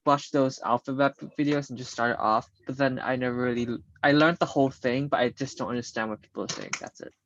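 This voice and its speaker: A man's voice: mid-range voice, dry recording. A teenage boy co-hosting a student podcast, talking hesitantly with fillers. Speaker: podcast co-host. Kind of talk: casual podcast chat. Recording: dry, muffled recording.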